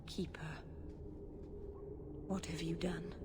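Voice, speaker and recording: An actress playing an earnest, emotionally open young elf mage in a dramatic video game. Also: female voice, on the low side, little pitch movement, slow. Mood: bewildered. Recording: long reverb, faint background noise.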